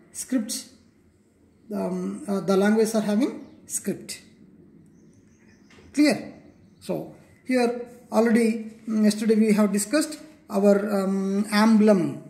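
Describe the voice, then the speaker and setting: An unhurried Telugu-accented teacher lectures a class, voice mellow and dark, repeating points and checking in with frequent okays and clears. The sound rings around a reverberant room. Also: mid-range voice. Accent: Telugu Indian English. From Telangana, India. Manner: repetitive, checks in with okay and clear. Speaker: school teacher. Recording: echoey room.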